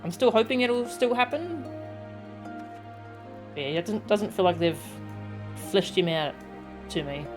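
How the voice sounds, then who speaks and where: A woman chatting casually and musingly as she streams a game, pausing and hesitating as she thinks aloud. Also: mid-range voice, lively intonation. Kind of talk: casual live game stream chat with dialogue read aloud. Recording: music behind the voice. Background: game music.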